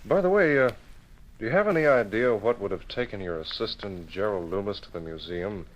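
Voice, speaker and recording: An actor playing a calm, skeptical newspaperman hero in an old time radio drama, measured and questioning. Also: lowish voice, natural voice. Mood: calm, probing. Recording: dull old radio recording.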